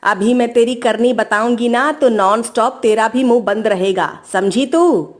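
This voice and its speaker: Casting: female performer as bossy older mother-in-law, scolding, grumbling, quick to threaten, domestic story drama. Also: on the high side, voice pushed down, natural voice. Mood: menacing, scolding.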